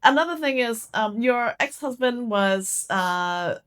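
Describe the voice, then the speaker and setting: Higher-pitched woman hosting a casual interview. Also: animated, takes it slowly, a bit bright, clear, resonant tone. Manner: frequent murmured backchannels, repeating short agreements. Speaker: podcast host, interviewer.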